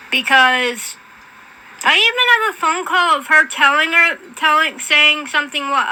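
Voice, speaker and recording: Sharp, high-voiced woman ranting, fed up. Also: slight background noise, poor audio. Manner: profane, fed up, confrontational. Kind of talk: angry off-the-cuff livestream rant.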